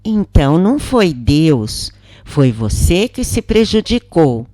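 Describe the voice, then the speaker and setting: A woman's voice: low-pitched, sing-song, slow pace, dark tone, no echo, clean studio sound, voice pushed up, full character voice. A woman with a Brazilian accent voicing a devout, earnest daughter who questions and instructs her mother in a story. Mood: admonishing.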